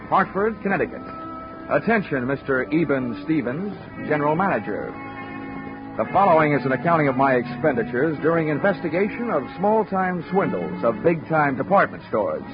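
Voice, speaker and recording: An actor playing a wry, flirtatious insurance investigator with deadpan delivery in 1940s radio detective drama. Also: male voice, a little high, normal speed. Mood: wry, dry. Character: wisecracking flirtatious male freelance insurance investigator. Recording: dull vintage radio recording with music behind.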